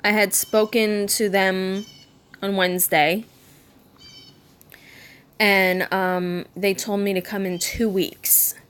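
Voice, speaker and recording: A woman in her mid-thirties, speaking candidly in a personal vlog, subdued and halting, with frequent ums and restarts. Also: mid-range voice, flat delivery, a bit bright, clean tone. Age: 30s. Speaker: vlogger. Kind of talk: personal vlog talking to camera.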